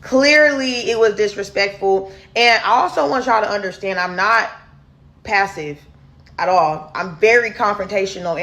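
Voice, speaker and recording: A deep-voiced woman, candidly telling viewers a personal story in a casual storytime vlog. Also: a bit bright. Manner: candid, chatty, addresses viewers as y'all. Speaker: storyteller host.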